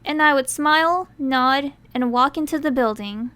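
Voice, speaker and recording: A woman's voice: sits high, moderate pace, sharp tone, no echo. A young woman from the United States, whose first language is Thai, narrating her own story in a chatty, self-deprecating way. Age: young adult.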